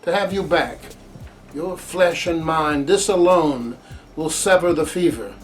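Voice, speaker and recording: A man reciting his own poem with deliberate, reflective phrasing. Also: fairly high pitch, soft background music. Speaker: poet reading own work. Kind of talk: poetry recitation.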